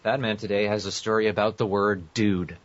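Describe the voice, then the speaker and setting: A man with a very deep voice co-hosts a casual group podcast. His delivery is conversational, and the sound is dull and lo-fi. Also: fairly even intonation, a little fast. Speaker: podcast co-host. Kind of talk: casual group podcast chat, some reading aloud. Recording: muffled lo-fi internet podcast audio.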